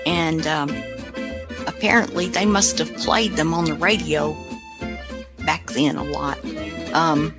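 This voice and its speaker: A woman's voice: low-pitched, fairly even intonation, slow, pauses often, music in the background. A woman casually hosting a radio show in a rambling, folksy way.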